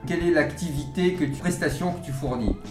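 A man's voice: fairly high pitch, a little fast, over music. A man hosting an informal radio interview, putting questions to his guest as the interviewer.

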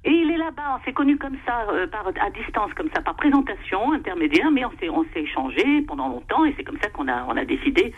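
A woman's voice: on the high side, very animated, fast talker, few pauses, slightly rough. An older woman phoning in to a radio show, insistent and explaining at length. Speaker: caller. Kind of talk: phone call-in. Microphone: telephone. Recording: dull phone line.

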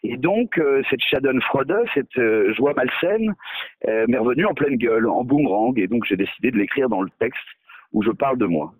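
A man's voice: medium pitch, animated, normal speed, no room sound. A male novelist in a radio interview, talking hesitantly with many fillers and restarts, mocking himself. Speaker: novelist guest.

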